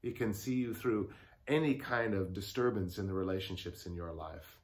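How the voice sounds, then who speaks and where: A man in his sixties, a pastor giving a daily devotional to camera in a study, speaking earnestly with pauses. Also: deep voice, darkish tone. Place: home study with bookshelves. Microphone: lapel mic.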